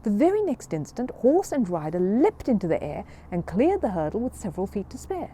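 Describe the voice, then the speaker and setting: A woman reading a children's story aloud in an expressive, animated storytelling manner. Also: medium pitch, fairly quick, a little hiss or hum.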